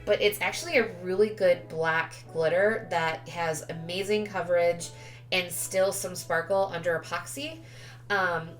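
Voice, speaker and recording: Congested, lower-pitched woman narrating a craft tutorial. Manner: chatty, self-correcting. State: congested with a cold, sniffly. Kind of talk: casual tutorial voice-over. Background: background music.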